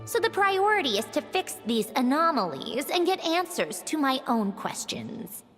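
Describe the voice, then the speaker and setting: An actress playing a proud, coolly courteous mechanical woman of high rank in a video game, speaking in formal, precise phrases. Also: big pitch swings, a bit bright, soft background music. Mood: guarded, determined. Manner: formal, measured, precise wording.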